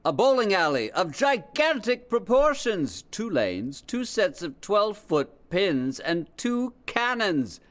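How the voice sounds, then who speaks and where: An actor playing a flamboyant, grandiose variety show announcer in a theatrical old-time ringmaster style. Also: male voice, high-pitched, sing-song, takes it slowly, few pauses, full character voice. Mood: excited showmanship. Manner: grandiose, dramatic, sweeping. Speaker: stage show host.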